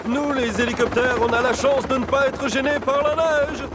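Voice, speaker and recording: High-pitched eager cartoon helicopter. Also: male voice, fairly even intonation, moderate pace, heavy background noise. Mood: helpful. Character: friendly, eager male rescue helicopter. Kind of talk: French cartoon voice acting. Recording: loud music behind the voice.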